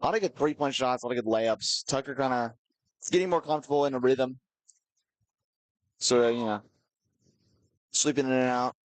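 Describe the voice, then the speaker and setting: A man commenting on a school basketball game in a relaxed, rambling way, full of fillers. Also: mid-range voice, moderate pace, pauses often, no echo, low-quality recording. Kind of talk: casual sports commentary.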